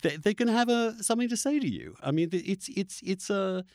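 A man's voice: sits high, animated, a little fast, a little mellow, dry recording, studio quality. A man hosting a podcast, talking in an opinionated, unscripted way, emphatic and often restarting his phrases. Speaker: podcast host.

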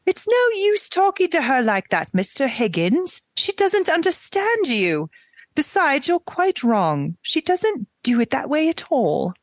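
A woman's voice: sits high, sing-song, measured pace, no room sound. A woman voicing a prim scolding housekeeper. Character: stern middle-aged housekeeper woman.

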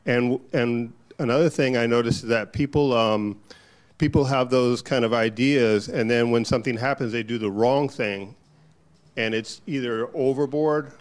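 A man in a church group sharing a personal reflection, talking haltingly as he searches for words. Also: mid-range voice. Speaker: congregant.

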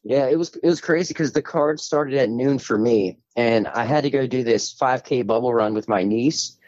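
A man with a hoarse voice co-hosting a fight podcast, excitable and chatty, often repeating words. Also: medium pitch, normal speed, dry recording. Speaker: podcast co-host. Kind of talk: casual post-fight analysis. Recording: dry, dull lo-fi audio.

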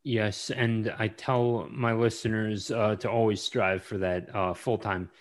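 Halting man hosting a podcast. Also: fairly low pitch, little pitch movement. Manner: halting, with many ums and uhs. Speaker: podcast host. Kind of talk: casual interview chat.

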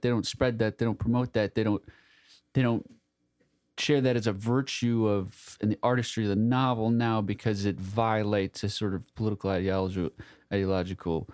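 A man's voice: mid-range voice, a little mellow, no echo, clean studio sound. A man co-hosting a casual literary discussion, searching for words, repeating phrases and swearing freely. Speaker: podcast co-host.

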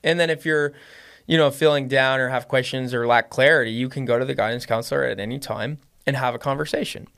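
A man with a slight Lebanese accent chats as a guest in a relaxed podcast conversation. His voice sits in a middle pitch, and his speech wanders with frequent fillers and restarts. He gets livelier and louder when something excites him. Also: fast talker, gravelly, smooth. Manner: rambling, many fillers and restarts. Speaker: podcast guest, business owner. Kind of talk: casual conversation.

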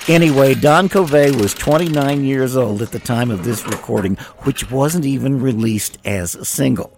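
A man's voice: medium pitch, normal speed, noisy background. A man hosting a music show, delivering scripted music-history narration in a wry, wordy, playful way. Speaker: radio music show host. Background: music under the voice.

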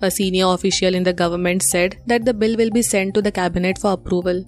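A woman's voice: lowish voice, steady tone, quick pace, soft background music. A woman with an Indian accent reading scripted news updates as a presenter.

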